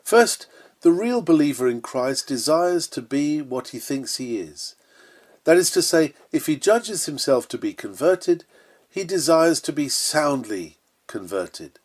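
A man's voice: fairly high pitch, very animated, unhurried, a little mellow. A man reading an old-fashioned sermon aloud in an earnest, solemn and emphatic preaching manner. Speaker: sermon reader.

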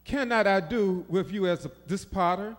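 A man standing at a mic in a small church, reading Scripture aloud in answer to the preacher, with quick amens. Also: high-pitched. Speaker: Scripture reader. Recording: reverberant church room.

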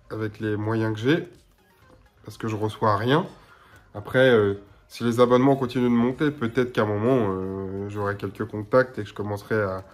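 A man in his thirties with a blocked nose and slightly hoarse voice, chatting casually to his webcam at home as a gaming YouTuber, rambling with lots of filler sounds. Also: fairly low pitch. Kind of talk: casual to-camera chat. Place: small room at home.

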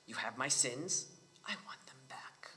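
A man voicing a menacing Mafia don in a story reading, quiet, measured and commanding. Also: medium pitch, expressive, a little hiss or hum. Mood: cold demand. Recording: reverberant hall.

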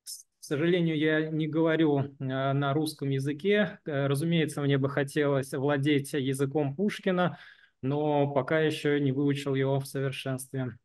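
A young man interpreting live over a video call, halting and searching for words with many fillers. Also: a little high, fairly even intonation, a little mellow, clean tone.

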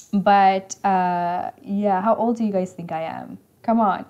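A young woman in her mid-twenties hosts a casual live stream from an indoor room, her voice a bit raspy today. She chats easily and teases her viewers as she reads their comments, with a light laugh never far off. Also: mid-range voice, mellow, muffled recording. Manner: chatty, teasing, laughs often. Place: indoor room with leather couch.

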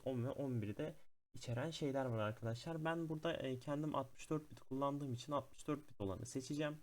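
Man hosting a casual software tutorial. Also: mid-range voice. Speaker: tech tutorial host.

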